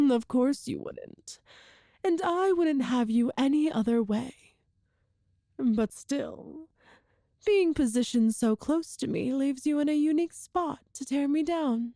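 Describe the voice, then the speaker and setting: A woman playing a cruel, seductive empress in a scripted audio roleplay, speaking with teasing, formal, deliberate diction. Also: high voice, warm tone, no echo, clean studio sound, heavily acted. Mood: amused, tempting. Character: cruel, seductive evil empress, proud and calculating. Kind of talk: scripted audio roleplay, ASMR-style monologue to the listener.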